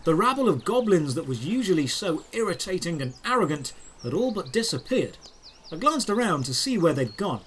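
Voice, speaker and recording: A man narrating a first-person fantasy audio drama in a deliberate, descriptive way with dramatic pauses. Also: fairly high pitch. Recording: clear background noise with birdsong. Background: birdsong and outdoor ambience effects.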